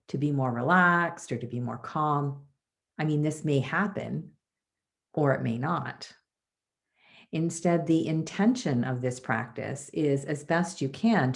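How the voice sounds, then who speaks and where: A woman leading a guided body scan meditation as a teacher, soothing and measured, with pauses between phrases. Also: deep voice, creaky.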